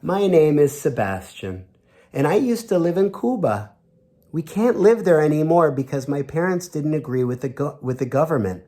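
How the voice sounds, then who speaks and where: Man reading as an earnest, animated refugee child. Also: fairly high pitch, moderate pace, voice pushed up. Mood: cheerful, earnest. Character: young refugee children introducing themselves, earnest and friendly. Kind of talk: storybook read-aloud in character.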